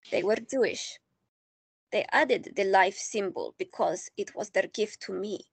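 An actress playing an elderly woman in her nineties, a proud former resistance fighter, speaking firmly and warmly. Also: medium pitch, slightly rough, no room sound. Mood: reflective. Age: older. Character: elderly woman, war veteran, proud and firm. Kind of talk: audio drama acting.

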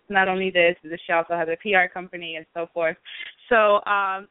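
A woman with a smooth, clean voice at a middle pitch hosts a casual interview. She speaks conversationally, feeling her way through sentences. The sound is dry and thin, like a phone line. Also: no echo. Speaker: interview host. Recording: narrow-band radio-like phone sound, dry.

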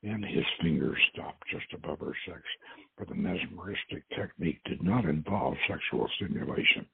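A man with a gravelly, husky voice hosting and reading his own novel aloud as dramatic narration. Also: low-pitched, normal speed, no room sound. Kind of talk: dramatic audiobook-style narration. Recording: dull, lo-fi audio.

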